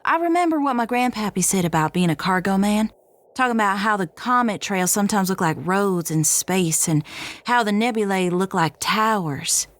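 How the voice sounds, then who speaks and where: A woman's voice: mid-range voice, lively intonation, moderate pace, no room sound, close to an everyday voice. Actress as adventurous female cargo pilot, sci-fi audio drama. Medium voice, folksy and plain-spoken, close dry sound. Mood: wistful, wondering. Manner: folksy, informal storytelling.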